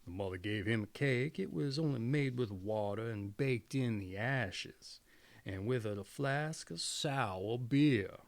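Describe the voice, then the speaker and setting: Creaky, animated male fairy-tale storyteller. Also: medium pitch, unhurried, no room sound. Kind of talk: fairy tale narration, read aloud.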